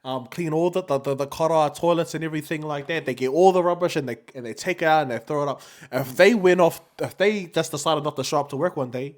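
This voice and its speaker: A man's voice: fairly high pitch, fast talker, hardly any pauses. A man with a New Zealand accent co-hosting a casual podcast, talking in a slangy, laid-back way and often repeating himself. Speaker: podcast co-host.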